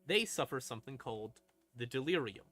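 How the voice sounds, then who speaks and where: A man streaming an informal lore explainer as a storyteller, with a theatrical, halting delivery and dramatic pauses. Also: medium pitch, expressive, a bit bright. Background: eerie ambient music.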